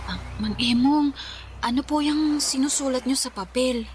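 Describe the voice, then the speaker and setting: A high voice with faint noise behind it, a woman acting as an outspoken young Filipina friend. She is insistent, suspicious and concerned, in radio drama style. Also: normal speed, a little mellow, lightly acted. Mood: curious, worried. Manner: insistent, inquisitive.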